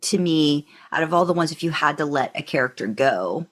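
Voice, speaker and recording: A woman's voice: sits low, expressive, brisk. A woman co-hosting a podcast, talking through her opinions in a casual, conversational way with hedging asides. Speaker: podcast co-host; narrator by trade. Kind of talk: casual fan discussion.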